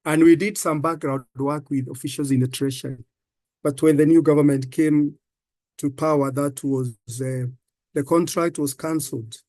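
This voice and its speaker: A man with a Kenyan accent, a finance professor giving halting comments on a panel with many fillers and repeated words. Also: on the high side, steady tone, a little mellow, dry recording.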